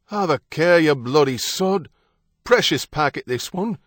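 Man doing a rough, shouting British dock worker. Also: on the high side, expressive, unhurried, no room sound, studio quality, voice pushed up. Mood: angry, disgusted. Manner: shouting, coarse.

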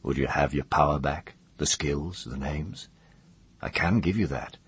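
A man voicing a wise mage, stern yet tender, who speaks softly and with solemn, measured gentleness in a storybook reading. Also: a bass voice, husky, exaggerated voice. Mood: calm, knowing.

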